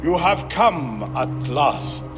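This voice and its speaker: Slow, high-voiced mystic seer in long reverb. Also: male voice, animated. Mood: solemn. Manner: ceremonial, declamatory. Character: solemn mystic seer chanting ancient Egyptian rites. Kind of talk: incantatory game cutscene acting. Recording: long cavernous reverb, loud music.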